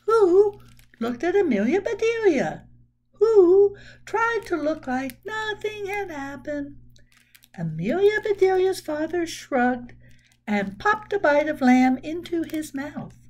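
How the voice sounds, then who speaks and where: Casting: woman in her 60s, children's storyteller, high swooping voice, emphatic delivery. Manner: expressive, deliberate, emphatic storytelling. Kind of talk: reading a children's book aloud. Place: small room at home.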